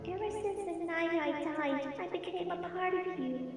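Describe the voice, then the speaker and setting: A woman's voice: very high voice, a little slow. A woman voicing a solemn young filly ghost who speaks formally as a spirit guide in a fan-made audio drama. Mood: earnest, urgent. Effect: long ghostly reverb. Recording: echoey reverb, distant, music behind.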